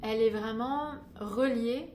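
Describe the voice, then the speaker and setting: A woman's voice: fairly high pitch, unhurried, a little mellow, slight background noise. A woman from Paris, talking through her reflections in an unscripted vlog, with many hesitations and "euh" fillers. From Paris, France. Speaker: spiritual guidance vlogger. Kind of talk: unscripted reflective monologue to camera. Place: indoors in Paris during a thunderstorm. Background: faint storm ambience.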